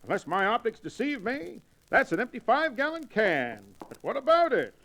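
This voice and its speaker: An actor playing a blustery old reprobate cowboy sidekick with pompous, florid diction in an old-time radio Western. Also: male voice, very high-pitched, sing-song, moderate pace, fairly bright tone, exaggerated voice. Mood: puzzled indignation. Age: older. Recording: noisy vintage radio drama recording.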